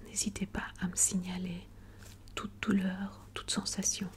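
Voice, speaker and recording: A woman playing a calm, attentive doctor in an ASMR medical roleplay, whispering gently and methodically. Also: mid-range voice, a little slow, lightly acted. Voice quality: breathy whisper. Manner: gentle, methodical, polite and formal. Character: calm, attentive female doctor examining a patient.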